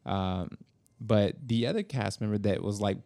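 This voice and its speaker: A man's voice: fairly low pitch, moderate pace, vocal fry, warm tone, faint music behind. A man hosting a podcast in casual, informal and enthusiastic chat, swearing freely. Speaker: podcast host and filmmaker.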